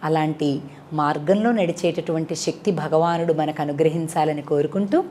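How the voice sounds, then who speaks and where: A woman tells a moral story as a devotional storyteller, in an expressive, conversational way with rhetorical questions. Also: deep voice, moderate pace, slight background noise. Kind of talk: animated moral storytelling to an audience.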